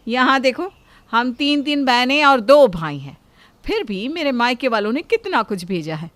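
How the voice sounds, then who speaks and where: A woman's voice: on the high side, very animated, normal speed, fairly bright tone, dry recording. A woman playing a haughty, boastful sister-in-law who gloats and taunts in a family moral story.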